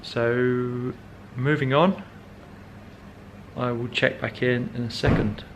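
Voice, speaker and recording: A man's voice: medium pitch, flat delivery, takes it slowly, background noise. A young man chatting casually in a car vlog, rambling with many ums and correcting himself as he goes. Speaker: car vlogger.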